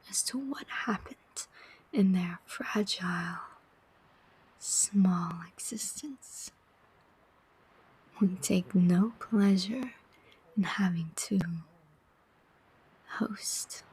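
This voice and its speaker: Slow whispering demon soul collector, acted by a woman. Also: medium pitch, darkish tone, breathy edge, heavily acted, rough recording. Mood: somber. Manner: slow, deliberate, coaxing whisper with pointed questions. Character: persuasive, sardonic demon collector of souls. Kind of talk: whispered ASMR roleplay.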